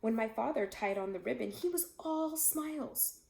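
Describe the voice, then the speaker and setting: Animated woman storyteller in an echoey room. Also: fairly high pitch, normal speed, creaky. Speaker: read-aloud storyteller. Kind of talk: expressive children's story narration.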